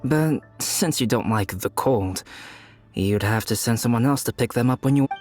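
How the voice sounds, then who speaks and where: A man voicing a calm, composed young alchemist in a video game, dry and gently teasing. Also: mid-range voice, soft background music, close to an everyday voice. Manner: calm, measured, dryly teasing.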